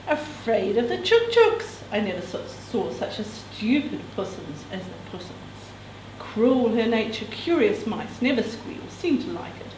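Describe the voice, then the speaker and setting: Female reader, expressive literary delivery with informal asides, higher register, roomy distant sound. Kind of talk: reading a novel extract aloud with casual asides. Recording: echoey room, distant mic, background noise.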